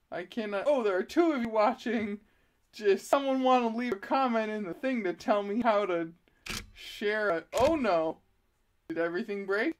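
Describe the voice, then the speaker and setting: Slow-talking giggly woman live streaming. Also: a little high, a little mellow. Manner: self-deprecating, giggly, fumbling with the tech. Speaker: artist live streaming from her studio. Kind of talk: casual live stream chat. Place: art studio. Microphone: laptop, DSLR and chest-mounted webcam.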